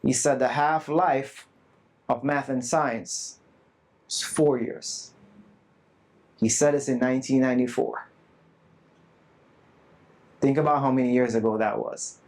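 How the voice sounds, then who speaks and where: A man giving a live conference talk to a room of educators, earnest and emphatic, with self-deprecating asides. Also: medium pitch. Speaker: conference presenter. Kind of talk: live summit talk to an audience. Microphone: not loud at first, asked to speak louder.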